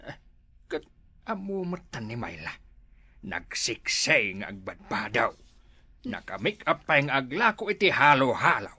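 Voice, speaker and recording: A man's voice: mid-range voice, very animated, a little slow, bright, forceful tone, husky, exaggerated voice. A man playing a gossipy, meddling older neighbor in an Ilocano village comedy drama, full of exclamations. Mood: gossiping.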